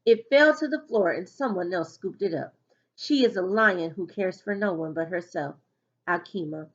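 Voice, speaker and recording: A woman's voice: on the low side, moderate pace, muffled recording, natural voice. A woman voicing a starving, bitter enslaved girl in a storybook diary read-aloud. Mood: bitter, hungry.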